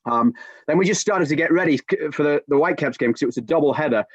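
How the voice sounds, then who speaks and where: Brief: man, forties, soccer commentator, animated storyteller, dry room, muffled webcam sound. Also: a little high, fast talker, husky, dry recording. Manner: animated anecdote telling with many restarts. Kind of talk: casual storytelling on a video-call podcast. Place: home room with bookshelves. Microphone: video call webcam. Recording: dull, dry video-call audio.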